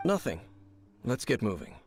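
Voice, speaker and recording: A man voicing an aloof, cynical wanderer in an anime video game, speaking in a measured, solemn, formal way. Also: mid-range voice, huge pitch swings, gravelly, music in the background. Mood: grave. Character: aloof, cynical male wanderer with grave contempt for gods.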